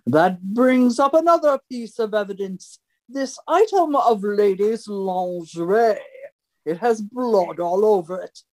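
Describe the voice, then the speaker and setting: A woman's voice: mid-range voice, expressive, measured pace, darkish tone, close to an everyday voice, low-quality recording. A woman playing an imperious, theatrical great detective with a pompous, declamatory delivery in an old-time radio mystery parody. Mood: grandly triumphant.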